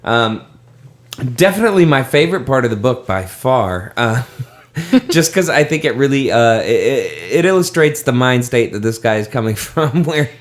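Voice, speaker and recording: A man's voice: mid-range voice, sing-song, normal speed. A man hosting a casual late-night podcast, tipsy, rambling with lots of fillers and frequent laughs. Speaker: podcast host.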